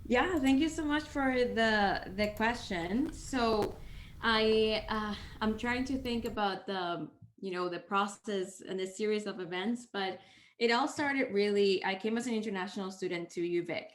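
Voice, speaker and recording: A woman's voice: medium pitch, measured pace, echoey room. A young woman from the Dominican Republic, a startup co-founder answering interview questions with lots of energy. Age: young adult. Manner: energetic, lively.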